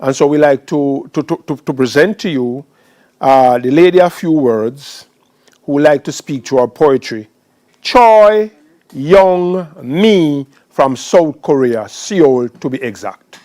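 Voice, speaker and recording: A man hosting a poetry festival, introducing guests in florid, rhetorical, deliberate phrases. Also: medium pitch, darkish tone. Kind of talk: spoken introductions at a literary event.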